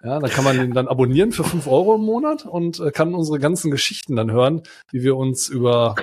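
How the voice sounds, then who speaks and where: A man's voice: medium pitch, animated, fast talker, darkish tone, breathy edge. A German man co-hosting a podcast in casual chat, rambling with fillers and restarts. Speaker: podcast co-host.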